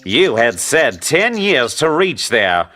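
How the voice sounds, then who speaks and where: An actor playing a respectful, confident young boat builder who speaks in a deferential, declarative way. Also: male voice, medium pitch, very animated, slow pace, pushed and bright, heavily acted. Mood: respectful confidence. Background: faint music.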